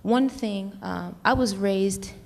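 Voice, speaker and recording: American woman panelist, earnest and rambling, gravel in the voice, recorded in an echoing hall. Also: medium pitch, slow. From United States. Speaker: panelist, music educator. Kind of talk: reflective panel discussion. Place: convention hall stage. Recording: long reverb of a large hall.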